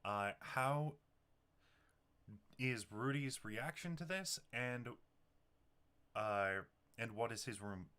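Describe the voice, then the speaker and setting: A man narrating a tabletop roleplaying game as game master, talking haltingly as he thinks things through. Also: mid-range voice, lively intonation, pushed and bright.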